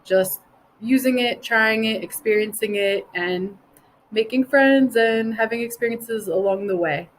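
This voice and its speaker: A woman's voice: on the high side, a little slow, slight background noise, poor audio. A woman giving an informal educational talk in an enthusiastic, encouraging manner.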